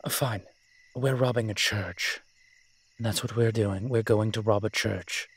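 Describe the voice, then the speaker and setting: A man's voice: lowish voice, fairly even intonation, measured pace, slightly rough. A man playing a roguish incubus demon in a roleplay audio drama, talking in a sly, teasing, conversational way. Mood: reluctant, persuading. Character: smooth-talking roguish male incubus demon, playful and scheming.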